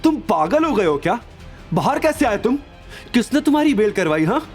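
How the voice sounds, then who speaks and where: A man's voice: high-pitched, big pitch swings, moderate pace, faint music behind. A man playing a haughty, angry young character in an audio drama, indignant and sneering. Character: haughty, angry, scornful young spouse or in-law. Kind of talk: Hindi audio drama acting.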